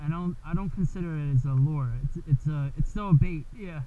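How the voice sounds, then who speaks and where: A man's voice: a little high, brisk, mellow, dull audio, slight background noise. A man chatting casually while fishing from a seawall, talking in a laid-back, stumbling way, recorded outdoors on an action camera. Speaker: angler filming a fishing trip.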